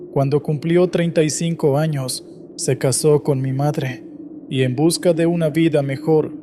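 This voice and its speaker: A man reading scripted first-person horror stories in a steady, measured way. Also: fairly high pitch, noisy background. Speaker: horror story narrator.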